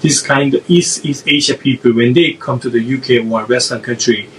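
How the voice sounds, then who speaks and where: A man from South Korea with a Korean accent, a podcast guest answering in a halting way and often restarting his phrases. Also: mid-range voice, fairly bright tone, recorded from a distance, slight background noise, poor audio. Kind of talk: casual interview answers.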